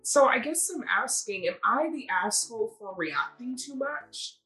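A woman podcast host chatting casually and reading stories aloud, addressing a co-host in an animated storytelling way. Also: fairly high pitch, a little slow, low-quality recording.

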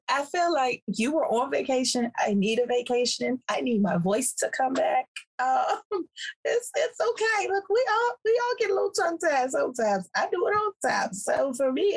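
A woman co-hosting a casual podcast with a hoarse voice, talking in a lively, easygoing way and laughing often. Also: high-pitched. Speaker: podcast co-host. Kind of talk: casual chat.

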